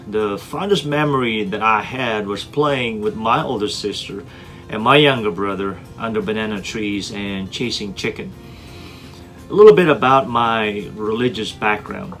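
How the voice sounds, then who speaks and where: A man in his forties from Indonesia, introducing himself as a teacher in an earnest, prepared talk with frequent "uh" fillers and repeated words. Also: fairly low pitch. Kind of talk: scripted self-introduction. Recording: soft guitar music underneath.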